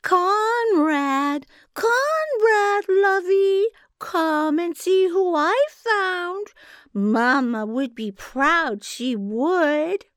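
A woman voicing a huge, ugly female giant with a sickly sweet, syrupy voice, cooing and wheedling in a drawn-out way. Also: very high voice, animated, a bit bright, dry recording, studio quality, pitched above the performer's own voice, heavily acted. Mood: gleeful.

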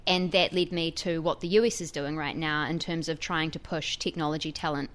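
A woman's voice: low-pitched, fairly even intonation, a little fast. A woman with a New Zealand accent, a technology reporter explaining a story in a radio interview.

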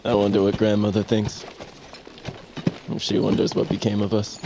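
A man's voice: fairly low pitch, monotone, moderate pace, noisy background, natural voice. An actor in an audio drama playing a nervous, earnest young tribesman who stammers and pleads. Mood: polite, awkward.

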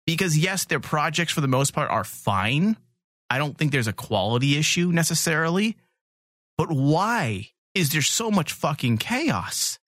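Animated, blunt man hosting. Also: fairly high pitch, unhurried, dry recording, studio quality. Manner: blunt, emphatic, swearing. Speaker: podcast host. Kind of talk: casual opinionated podcast talk.

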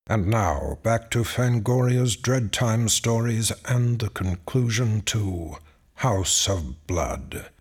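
A man with a husky voice announcing a horror audio show in a scripted style. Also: lowish voice, animated, slow pace.

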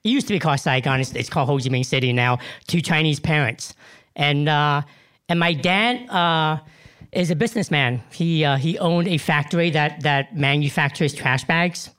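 Emotional, halting man in his fifties. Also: a little high, a bit bright, clean tone. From Vietnam. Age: 50s. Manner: emotional, hesitant, many fillers and restarts. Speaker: guest actor. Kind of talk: interview answers.

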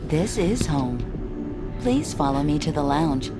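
A woman playing a composed, courteous artificial host in an audio drama, speaking in a formal, measured, detached way. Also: low voice, big pitch swings, exaggerated voice. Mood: calm, formal. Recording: loud music bed. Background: loud music.

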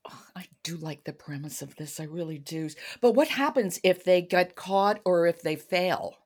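A woman's voice: on the low side, normal speed, a little mellow. A woman hosting a podcast about books in a conversational style, asking questions and introducing titles. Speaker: podcast host. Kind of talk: conversational book-show hosting.

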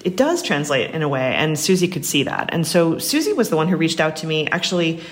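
A woman's voice: deep voice, sing-song, a little fast. An American woman answering interview questions as a guest, chatty, reflective and wry. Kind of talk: casual interview conversation.

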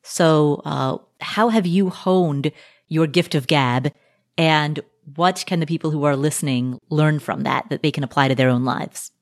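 A woman hosting a podcast, chatting casually, engaged and agreeable, and lively when she quotes someone. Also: low-pitched, measured pace. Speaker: podcast host. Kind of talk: casual conversational chat.

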